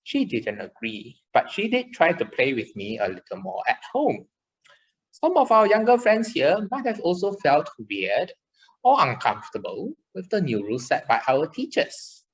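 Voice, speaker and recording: A woman's voice: low-pitched, big pitch swings, moderate pace, low-quality recording. A woman voicing a chirpy, caring child puppet in a children's show, with simple, encouraging explanations. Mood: gentle, comforting.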